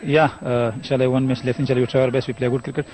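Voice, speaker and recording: A man with an Afghan accent, a native Pashto speaker and winning team captain, answering post-match questions in hesitant broken English with many pauses. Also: mid-range voice, little pitch movement, a little mellow.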